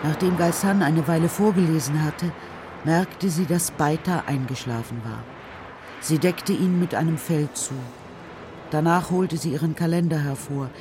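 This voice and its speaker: A narrator reading a children's radio drama story in a measured, serious, literary style. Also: medium pitch, noisy background.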